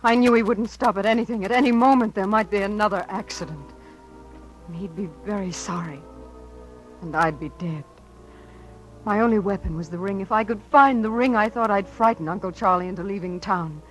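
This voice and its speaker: An actress playing an earnest, frightened but defiant young niece with an American accent in a 1950s radio drama. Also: female voice, medium pitch, fairly quick, faint music behind, natural voice, low-quality recording. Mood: tense narration. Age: young adult. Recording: vintage 1950s radio broadcast.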